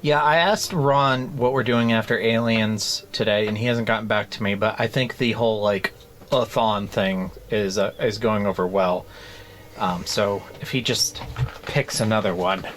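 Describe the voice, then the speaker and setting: A man co-hosting a casual book club podcast, rambling through jokes in a deadpan way. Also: lowish voice, moderate pace, a little hiss or hum. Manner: joking, rambling deadpan bits. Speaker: podcast co-host.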